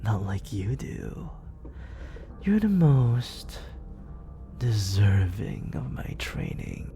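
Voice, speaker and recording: A man voicing a smooth, manipulative villain in an ASMR roleplay. He speaks close to the mic in a slow, condescending tone that often sinks into whispers. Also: lowish voice, very animated, over music, heavily acted. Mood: smug, coaxing. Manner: slow, purring delivery that often drops into whispers; condescending and coaxing. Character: smooth, manipulative male villain, soft-spoken and controlling. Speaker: voice actor. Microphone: close studio condenser mic.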